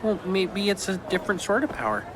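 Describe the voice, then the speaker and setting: A man's voice: sits high, sing-song, fairly quick. A man playing an earnest, wondering young slave shepherd in a family audio drama. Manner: earnest, unsure. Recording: crowd murmur and background noise.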